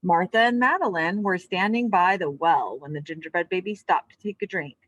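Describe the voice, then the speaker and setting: A woman speaking as an elementary teacher to young children, in simple, clear wording suited to a lesson or storybook read-aloud. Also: on the low side, fairly quick, fairly bright tone, no room sound. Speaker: elementary physical education teacher.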